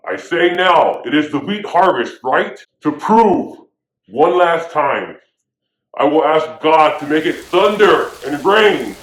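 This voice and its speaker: A man's voice: fairly high pitch, big pitch swings, slow pace, a bit bright, exaggerated voice. A man playing a stern prophet who scolds his people, preaching in a casual, modern way full of slang. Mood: scolding. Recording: echoey room.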